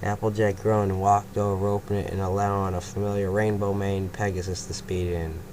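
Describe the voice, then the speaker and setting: A man's voice: lowish voice, level tone, fairly quick. A young man narrating an amateur fanfiction reading, stumbling over words, swearing and chatting casually between passages. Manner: stumbling, swearing, laughs at own slips. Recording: clear background noise.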